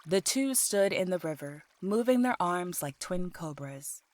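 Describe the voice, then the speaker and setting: A woman's voice: fairly low pitch, lively intonation, a little slow, darkish tone. A woman reading scripted audiobook narration as the storyteller of a fiction podcast.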